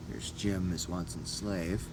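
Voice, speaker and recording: A man narrating a first-person adventure story as an audiobook reader, in short deliberate phrases. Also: sits low, fairly even intonation, music in the background.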